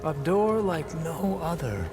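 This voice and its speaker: A grandiose ruler who worships himself, in a sci-fi game cutscene. His highish voice moves slowly and pompously, swooping widely in pitch. Loud music plays behind him. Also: big pitch swings. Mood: imperious. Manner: pompous, measured, theatrical delivery. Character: grandiose, self-worshipping male leader of a futuristic sect. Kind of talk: video game cutscene acting. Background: cinematic music.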